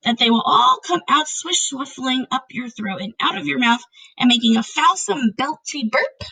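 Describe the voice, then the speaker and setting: A woman voicing an excitable, indignant male storybook giant who speaks emphatically in mangled grammar and nonsense words. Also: sits high, normal speed, vocal fry, slightly rough, exaggerated voice, poor audio. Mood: insistent questioning.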